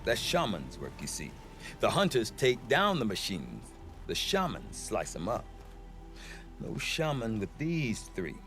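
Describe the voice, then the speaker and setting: Wily trader man, low voice that swings all over, wry and theatrical, game cutscene with music. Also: wildly swooping pitch, unhurried, a bit bright, exaggerated voice. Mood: defensive, wry. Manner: wry, theatrical, self-justifying. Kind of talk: video game cutscene acting.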